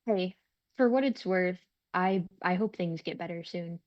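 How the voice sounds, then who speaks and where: A woman's voice: lowish voice, measured pace, no echo, lo-fi sound, close to an everyday voice. An actress playing a kind, upbeat young woman in a naturalistic script read, cheerful and earnest with some hesitancy. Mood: gentle, sympathetic.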